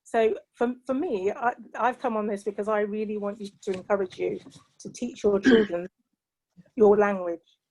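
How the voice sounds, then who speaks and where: A woman's voice: mid-range voice, steady tone, normal speed, warm tone, low-quality recording. A middle-aged woman with a British accent, sharing personal reflections in an earnest, heartfelt way and often saying "you know" as she talks. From England. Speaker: panel guest.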